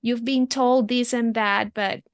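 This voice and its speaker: A woman's voice: fairly high pitch, fairly even intonation, a little slow, clear, resonant tone, no room sound, studio quality. A young adult woman, a therapist, talks through ideas thoughtfully as an interview guest, often restarting and asking questions back. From immigrant. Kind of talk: reflective interview conversation.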